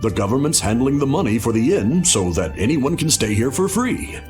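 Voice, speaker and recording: An actor playing a chipper, obsequious innkeeper in a video game, polite and salesmanlike. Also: male voice, mid-range voice, big pitch swings, moderate pace, darkish tone, faint music behind, lightly acted. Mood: welcoming. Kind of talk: English video game dub acting.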